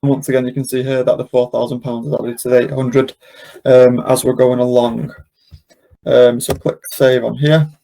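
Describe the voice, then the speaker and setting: A man presenting an informal software walkthrough, explaining casually step by step. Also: medium pitch, little pitch movement, moderate pace, creaky, rough recording. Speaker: software demonstrator, webinar presenter. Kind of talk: informal screen-share walkthrough.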